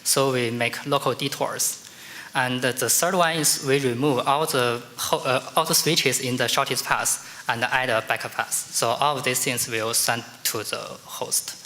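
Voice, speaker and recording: A man answers audience questions at a conference podium microphone. His voice is bright and sharp, and he answers in halting bursts with many fillers. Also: medium pitch, fairly even intonation. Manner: halting, with repeated fillers and restarts. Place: large conference hall.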